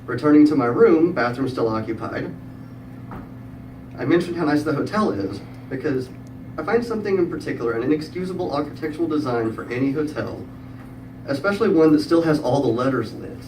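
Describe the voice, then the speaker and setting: A middle-aged man tells a humorous story live, in a prepared style with wry, dry wit and comic pauses. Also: a little high, expressive. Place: live event room with audience. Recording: echoey room, distant mic, clear background noise.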